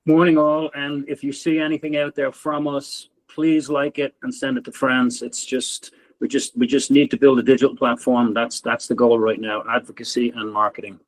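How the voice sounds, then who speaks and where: Flat-voiced man on a video call. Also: medium pitch, moderate pace, poor audio. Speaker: social media advisor. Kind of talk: brief greeting on a webinar.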